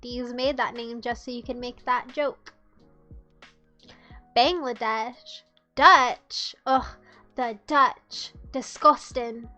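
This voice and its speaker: A woman's voice: fairly high pitch, fairly even intonation, takes it slowly, pauses often, pushed and bright, faint music behind. A young woman from the United States streaming live, chatting casually and teasing her chat in a playful way.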